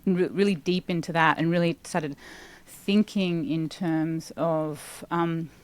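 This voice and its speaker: An Australian woman in her forties, a guest talking through an interview in an animated, chatty way full of "like" fillers. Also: deep voice, slow pace. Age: 40s. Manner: animated, talkative, with frequent "like" fillers. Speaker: guest, sustainability expert. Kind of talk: casual podcast interview.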